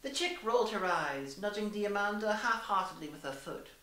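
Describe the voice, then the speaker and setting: A young woman giving a deliberate, theatrical dramatised reading of fan fiction, with emphatic character dialogue. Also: medium pitch, animated, a bit bright. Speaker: reader and host in costume. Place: small plain room indoors. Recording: roomy echo, distant camera microphone.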